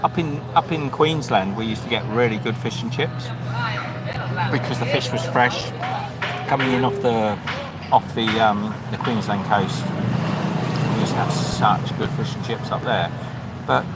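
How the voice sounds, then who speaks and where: A man's voice: mid-range voice, a little slow, pauses often. A man with a Yorkshire accent hosting a live stream, chatting casually and opinionatedly, often rambling and correcting himself. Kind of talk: casual chat. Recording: loud traffic noise behind.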